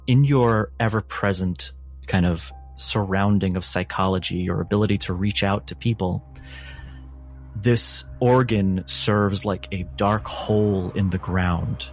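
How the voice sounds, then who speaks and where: A man narrating a tabletop roleplaying game as game master, in descriptive, measured storytelling. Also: lowish voice.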